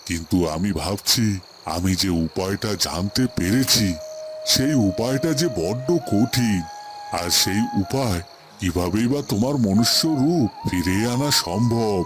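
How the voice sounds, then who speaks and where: A man voicing a wise holy hermit in a cartoon fairy tale, solemn and deliberate as he advises. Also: on the low side, lively intonation, noisy background. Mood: grave, hesitant.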